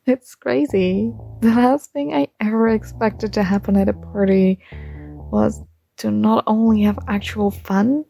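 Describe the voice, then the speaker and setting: A young woman playing a shy, smitten student in an intimate ASMR roleplay, speaking softly, hesitantly and tenderly, with some breathiness. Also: mid-range voice, fairly even intonation, a little slow, gravelly, faint music behind. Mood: tender, playful.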